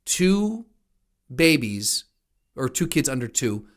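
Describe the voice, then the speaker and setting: A man from the United States chats as a podcast co-host, his voice sitting at a middle pitch. He talks in an easygoing, playful way, trading banter in casual conversation. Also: very animated, slow pace.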